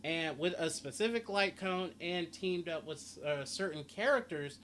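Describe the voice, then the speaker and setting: A man with a slightly high voice talks through a game guide in a casual, rambling way. He often restates his points as he goes. There is some noise behind him on the recording. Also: animated, unhurried, a bit bright. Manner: rambling, repeats and rephrases himself. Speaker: gaming video host. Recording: clearly noisy home recording.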